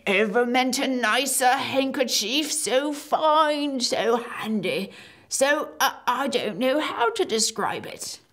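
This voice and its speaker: A woman's voice: sits high, animated, takes it slowly, a bit bright, voice pushed up, full character voice. A woman voicing a big, polite, clumsy male giant who talks in rustic, humble speech. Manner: deferential, rustic common speech.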